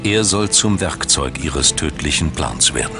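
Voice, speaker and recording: A man narrating a scripted historical documentary in a solemn, measured and dramatic storytelling manner. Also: rumbling low, expressive, vocal fry. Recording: studio voice-over over music. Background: background music.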